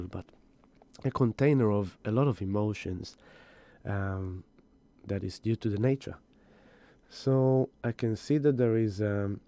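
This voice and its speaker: Husky, tired man with a low, mellow voice. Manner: gentle, rambling, greets viewers by name. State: exhausted and grieving. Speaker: spiritual astrology host. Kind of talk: live stream energy update.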